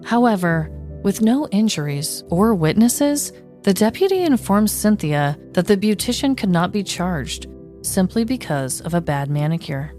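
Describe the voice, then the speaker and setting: A woman's voice: medium pitch, animated, unhurried, warm tone, soft background music. A woman co-hosting a podcast, telling a story and talking to listeners. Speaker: podcast co-host. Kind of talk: scripted storytelling narration, then casual chat.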